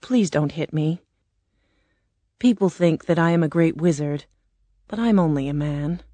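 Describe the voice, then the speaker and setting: A woman doing meek old storybook man, apologetic, mild, mellow, low-pitched. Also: unhurried, dry recording. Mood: frightened. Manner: mild, confessional. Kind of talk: storybook character voice.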